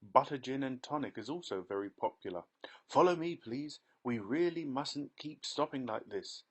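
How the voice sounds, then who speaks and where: Man doing an excitable eccentric chocolatier. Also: medium pitch, normal speed, husky, voice pushed up. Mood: brisk excited. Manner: loud, bright, triumphant exclamations. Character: excitable eccentric male chocolatier, brisk and showy.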